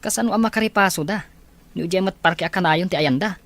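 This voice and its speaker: A young male student speaks earnestly in an Ilocano accent, played by a man. He has a gently persuasive manner suited to an audio drama. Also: high-pitched, fast talker, no room sound. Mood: curious. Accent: Ilocano Filipino.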